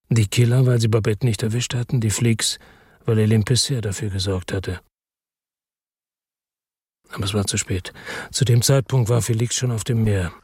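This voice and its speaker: An actor playing an embittered, defiant noir hero in a German radio drama, speaking in a terse, grim, weary way. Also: male voice, on the low side, darkish tone, slightly rough, no echo, clean studio sound. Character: embittered, defiant noir hero telling his own story. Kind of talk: German radio drama acting and first-person narration.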